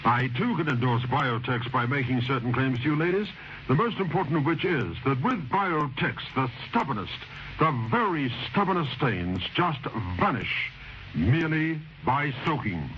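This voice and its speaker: A man's voice: medium pitch, big pitch swings, normal speed. A man reading a scripted testimonial radio advert in a polite, formal, persuasive way. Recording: dull, faintly noisy vintage radio recording.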